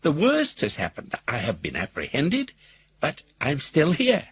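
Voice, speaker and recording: An actor playing a wry, pompous older gentleman rogue, husky, narrating his letters in ornate, wordy style for a radio drama. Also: a little high, wildly swooping pitch, measured pace, dry recording, muffled recording, heavily acted. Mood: wry, self-satisfied. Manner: wordy, ornate, self-regarding.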